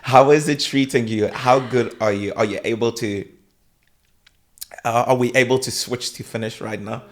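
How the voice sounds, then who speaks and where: A man's voice: mid-range voice, fairly even intonation, normal speed. A man hosting a podcast, chatting in a playful way. He laughs easily and often restarts his sentences. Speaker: podcast host. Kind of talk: casual chat.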